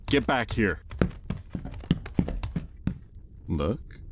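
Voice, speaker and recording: A man playing an imperious, sardonic demon in an intimate audio roleplay, with a deliberate, menacing drawl and easily exasperated temper. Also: fairly low pitch, very animated, background noise. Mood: startled, commanding.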